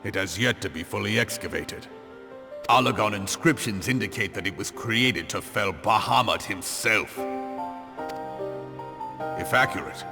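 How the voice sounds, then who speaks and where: An actor playing a stern, blunt military commander in a fantasy video game, speaking gravely in formal, archaic phrasing. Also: male voice, fairly low pitch. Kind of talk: scripted video game drama.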